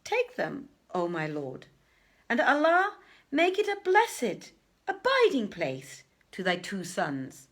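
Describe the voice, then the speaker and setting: A woman acts out a grave old merchant chief from a storybook, obliging and lecherous. He speaks formally and slowly, savouring his words. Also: fairly high pitch, wildly swooping pitch, a bit bright, pitched above the performer's own voice, full character voice. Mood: ingratiating. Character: grave old male market overseer, lavishly obliging, lecherous.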